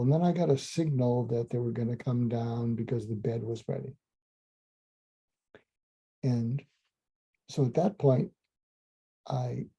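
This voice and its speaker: A man, a psychiatrist, calmly recounting a case in a slow, soothing, almost hypnotic way, with frequent ums and restarts. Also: mid-range voice, creaky, breathy edge. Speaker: psychiatrist telling a clinical story. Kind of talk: calm storytelling monologue. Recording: dull lo-fi sound.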